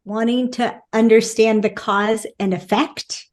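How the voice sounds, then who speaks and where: An American woman speaking as a guest relationship expert, emphatic and quick to laugh. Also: fairly high pitch, fairly even intonation. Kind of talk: casual interview talk.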